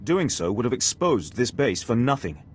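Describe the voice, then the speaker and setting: A man's voice: mid-range voice, very animated, normal speed, a little hiss or hum, natural voice. An actor playing a stern, dignified aristocratic male alien base commander in a video game. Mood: grave, measured.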